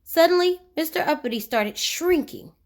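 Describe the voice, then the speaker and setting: A woman reading a children's story aloud expressively, with dramatic emphasis and drawn-out key words. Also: sits high, expressive.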